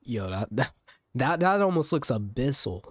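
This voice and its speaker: A man's voice: medium pitch, big pitch swings, moderate pace, no echo. A man giving casual live gameplay commentary as a streamer, slangy and reactive, with muttered asides. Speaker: game streamer.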